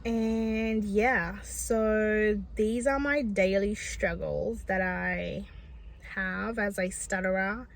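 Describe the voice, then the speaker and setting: A woman's voice: medium pitch, fairly even intonation, very slow, slight background noise. A woman speaking in a personal vlog, stuttering with repeated sounds and restarts, earnest and reflective with many fillers. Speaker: vlogger.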